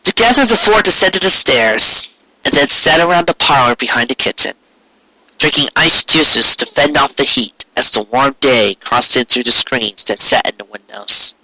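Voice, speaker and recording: A man reading a story aloud as an expressive narrator. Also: medium pitch, normal speed, no echo, low-quality recording. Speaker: narrator, fan fiction reader.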